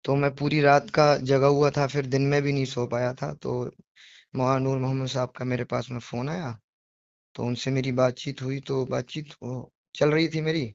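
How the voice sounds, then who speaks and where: A man's voice: mid-range voice, little pitch movement, moderate pace, creaky, dry recording. A man on a recorded phone call, speaking earnestly and apologetically, explaining himself insistently with repetitions and rhetorical questions. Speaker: devotional poetry reciter on a phone call.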